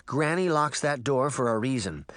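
Man voicing a bossy young girl, lively pitch. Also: normal speed, dry recording, studio quality, close to an everyday voice. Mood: cautious, bossy. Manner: snappy, commanding. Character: young girl, bossy, cautious older sister. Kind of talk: children's audiobook character voice.

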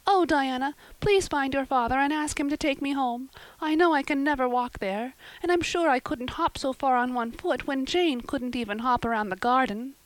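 Woman reader doing an imaginative, solemn girl; raised pitch, dry close sound. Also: normal speed, few pauses, dry recording, natural voice, poor audio. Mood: plaintive. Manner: high-flown, earnest phrasing. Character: dramatic imaginative young girl, solemn and earnest.

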